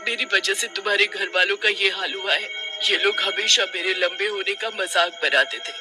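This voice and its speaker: Man voicing a high-pitched vengeful ghost witch. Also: moderate pace, music in the background, lightly acted. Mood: aggrieved. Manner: menacing, aggrieved, gloating. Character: vengeful ghost witch, a wronged tall young wife.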